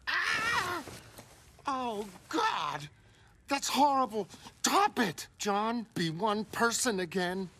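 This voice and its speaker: A man's voice: extremely high pitch, very animated, extremely slow, sharp tone, heavily acted. A man voicing an exasperated, emotional woman in a motion comic, with an agitated, pleading and blunt manner. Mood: horrified. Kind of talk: motion comic dramatic reading.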